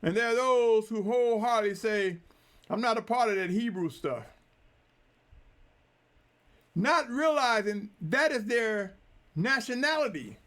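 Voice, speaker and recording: Male preacher, high-pitched, deliberate and emphatic sermon delivery. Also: lively intonation, a bit bright.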